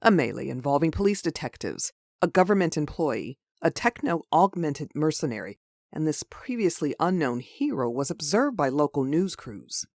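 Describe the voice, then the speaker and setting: Woman doing serious news anchor for a comic dub, formal n measured, dry close mic. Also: sits low, sing-song, darkish tone, dry recording, full character voice. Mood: serious, neutral. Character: formal, composed television news reporter.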